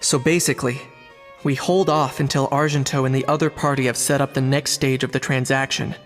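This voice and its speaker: An actor doing a thoughtful hunter in an echoey hall. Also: male voice, medium pitch, flat delivery, fairly quick, faint music behind, close to an everyday voice. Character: practical, hunter-minded young man. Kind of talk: video game cutscene dialogue.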